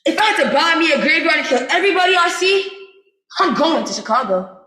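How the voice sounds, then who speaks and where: A woman's voice: high-pitched, expressive, a little fast, sharp tone. A woman acting a restless young man in a theatre monologue, emphatic and rhythmic. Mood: yearning. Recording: echoey room.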